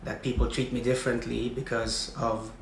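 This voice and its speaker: A man's voice: medium pitch, level tone, moderate pace, gravelly. Young Swedish-native man, guest, hesitant and filler-heavy, echoey room with faint hiss. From Sweden. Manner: hesitant, many fillers and restarts. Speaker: podcast guest. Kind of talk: casual conversation.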